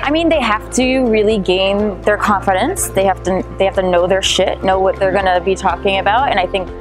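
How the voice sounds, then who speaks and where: A woman's voice: mid-range voice, fairly quick. Confident New Jersey woman giving advice. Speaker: home staging expert and video marketing guest. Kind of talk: casual interview chat. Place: indoors on a couch. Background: background music.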